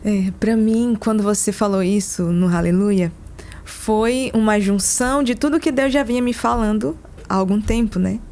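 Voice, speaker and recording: A woman's voice: mid-range voice, moderate pace, darkish tone. Female podcast guest, Brazilian accent, mid-range voice, informal personal storytelling. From interior of Amazonas, Brazil. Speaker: podcast guest, consecrated religious community member. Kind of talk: casual personal testimony.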